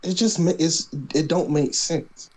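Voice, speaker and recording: A man's voice: on the high side, unhurried. A man co-hosting a casual podcast, talking wrestling in a laid-back, skeptical, teasing way. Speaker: podcast co-host. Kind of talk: casual wrestling predictions chat.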